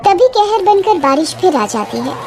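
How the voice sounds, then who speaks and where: A woman's voice: very high voice, sing-song, normal speed, vocal fry, a little mellow. A woman reading scripted, dramatic story narration.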